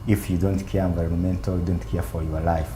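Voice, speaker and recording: A man on a panel answering hesitantly, with many fillers and slow, emphatic phrases. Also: low voice, flat delivery, dark tone, reverberant, a little hiss or hum. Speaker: panel guest.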